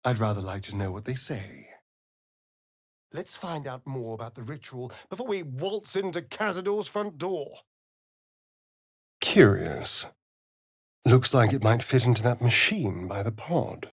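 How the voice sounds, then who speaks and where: A man's voice: mid-range voice, expressive, unhurried, pauses often, no echo, clean studio sound. A man playing a vain, sardonic aristocratic vampire rogue in a video game, with a British accent and an arch, theatrical drawl. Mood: musing. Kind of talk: video game character acting.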